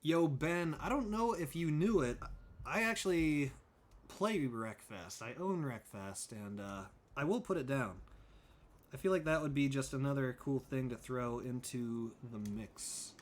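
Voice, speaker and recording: Relaxed slow-talking male streamer. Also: medium pitch. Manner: relaxed, thanks viewers by name, thinks aloud with fillers. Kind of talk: casual livestream chat while gaming.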